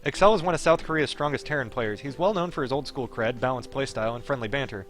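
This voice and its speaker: A man's voice: mid-range voice, quick pace, a bit bright, slight background noise. A man streaming a game, reading its narration aloud expressively and dropping into casual offhand commentary. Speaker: streamer playing a visual novel.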